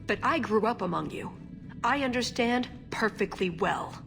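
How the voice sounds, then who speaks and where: Young female elf agent, fantasy game, high voice, wry, earnest, faint music underneath. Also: animated, moderate pace, vocal fry, a bit bright. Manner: flippant and wry, turning earnest. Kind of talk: video game voice acting.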